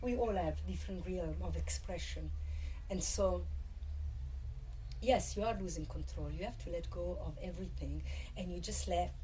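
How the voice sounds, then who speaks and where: A woman's voice: on the low side, mellow, poor audio. An Italian woman with an Italian accent gives an informal, irreverent and humorous live talk, sometimes tripping over her English words. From Italy. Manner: halting English with occasional word slips. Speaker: workshop speaker on tantra. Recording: live festival talk with background noise.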